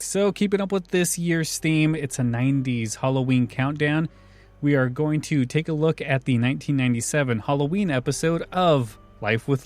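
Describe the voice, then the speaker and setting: A man hosting a podcast in a casual, conversational style. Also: mid-range voice. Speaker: podcast host.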